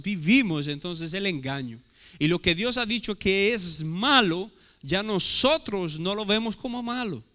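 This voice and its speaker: A man's voice: a little high, pushed and bright, clean tone. A man with a Portuguese-tinged accent preaching a sermon as pastor, emphatic and full of rhetorical questions. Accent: Portuguese-tinged Spanish. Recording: echoey hall reverb.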